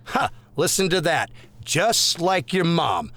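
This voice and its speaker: A man's voice: on the high side, big pitch swings, takes it slowly, a bit bright, full character voice. An actor playing a dismissive, combative middle-aged police officer and father. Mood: scornful. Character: gruff hungover middle-aged cop father.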